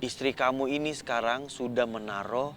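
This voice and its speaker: A man's voice: medium pitch, moderate pace, a bit bright, faint music behind, natural voice. A man telling a children's story voices a ragged, scheming stranger who speaks in an insistent, confiding way. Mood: insistent persuasion. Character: ragged, scheming male stranger who slanders his sister.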